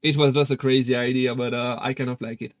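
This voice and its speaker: A man's voice: mid-range voice, flat delivery, quick pace, dry recording, clean studio sound. A young man with a French accent chatting casually on a live stream, hesitant with many fillers and restarts. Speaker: VTuber streamer.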